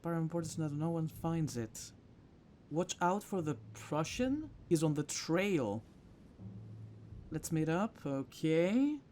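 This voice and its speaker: A man's voice: fairly high pitch, lively intonation, slow, a little mellow, a little hiss or hum. A man hosting a gaming video, thinking aloud and grumbling in casual commentary as he plays.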